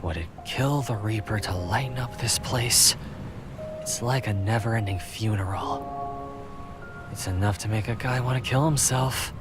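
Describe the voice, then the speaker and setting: A man playing a brooding young god of death, wry and weary, in a low-key, dry audio drama performance. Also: normal speed, lightly acted. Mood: dry, gloomy. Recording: loud music over the voice.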